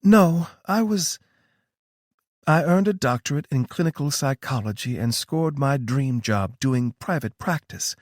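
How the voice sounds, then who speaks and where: A composed woman recruiter, calm and knowing, lightly teasing, as a male narrator puts her on. The voice sits well above his own and has a changed tone. It is read dry and close, like an audiobook. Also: mid-range voice, a little slow, dry recording, pitched above the performer's own voice.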